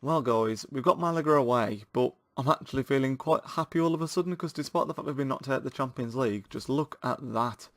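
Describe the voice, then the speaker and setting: A man's voice: mid-range voice, a little fast, hardly any pauses, no room sound, clean studio sound. Chatty man with a sore throat. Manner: chatty and excitable, addressing viewers as guys. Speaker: gaming channel host. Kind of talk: live commentary over a football video game.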